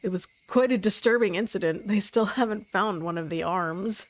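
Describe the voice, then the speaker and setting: A woman's voice: mid-range voice, normal speed, low-quality recording. A woman streaming a visual novel, reading narration aloud with giggly, amused asides. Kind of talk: live game stream with read-aloud narration and reactions.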